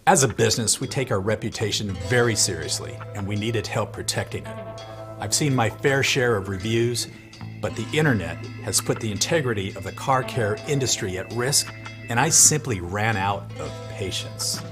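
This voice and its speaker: A man acting as a stern business owner in a mock-serious ad testimonial, speaking in a dry, deadpan way over music. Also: fairly low pitch, normal speed, close to an everyday voice. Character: stern male business owner, middle-aged. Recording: music bed under the voice.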